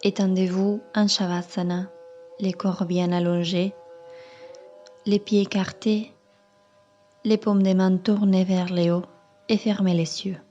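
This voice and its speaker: A woman yoga teacher calmly leading a guided meditation in measured, instructing phrases. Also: mid-range voice, highs cut off, faint music behind. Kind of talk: slow guided meditation narration.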